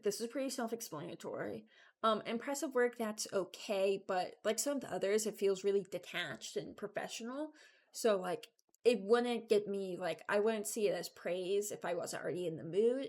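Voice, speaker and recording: A woman's voice: mid-range voice, normal speed, darkish tone. A young adult woman giving informal, candid commentary as she ranks things, rambling as she thinks aloud. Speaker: video creator. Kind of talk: casual ranking commentary.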